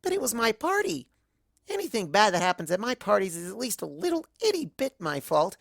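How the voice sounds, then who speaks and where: A woman's voice: mid-range voice, big pitch swings, fairly quick, gravelly, no echo, studio quality, pitched above the performer's own voice. A woman voicing a bubbly, scatterbrained young cartoon pony who rambles earnestly like a child. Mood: upset, guilty. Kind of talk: first-person fan fiction narration in character.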